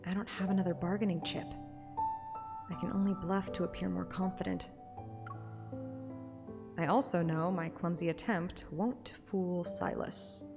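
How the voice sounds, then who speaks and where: A woman with a slightly low voice reads a story aloud as a streamer. Her delivery belongs to first-person audiobook narration, with room for casual asides. Music is clearly present behind her. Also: fairly even intonation, unhurried, pauses often.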